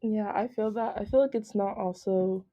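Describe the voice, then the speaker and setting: A young woman student chatting on a podcast, with a slightly deep voice and heavy use of "like". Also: moderate pace, warm tone, muffled recording. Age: young adult. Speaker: student guest. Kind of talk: casual podcast discussion.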